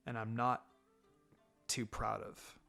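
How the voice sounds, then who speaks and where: A man acts out a guarded, brooding boy ninja from an audiobook story. The voice is pitched low and held quiet, with a terse, reluctant, clenched delivery that sounds easily irritated. Music is clearly audible underneath. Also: steady tone, slow pace, full character voice. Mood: reluctant, troubled. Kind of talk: fan fiction audiobook character acting.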